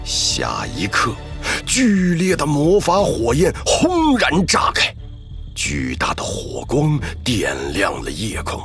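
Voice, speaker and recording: A man delivering scripted dramatic narration of an animated story. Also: fairly low pitch.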